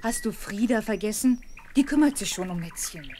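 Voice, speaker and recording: An actress playing a brisk, caring governess who coaxes and admonishes children in a radio play. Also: female voice, mid-range voice, natural voice. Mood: brisk admonishing. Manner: admonishing, coaxing, animated. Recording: vintage radio play with background noise.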